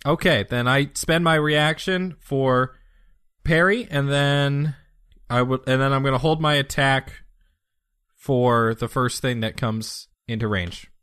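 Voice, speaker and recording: A man's voice: medium pitch, slow, a bit bright, smooth, no echo, clean studio sound. A man of twenty-six chatting casually as a player in a tabletop game, joking and teasing.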